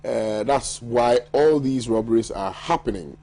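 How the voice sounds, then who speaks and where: A man's voice: medium pitch, a little slow, mellow. A man with a Ghanaian accent hosting a morning TV show, reading viewer messages aloud and bantering with his panel with frequent laughter. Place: TV studio.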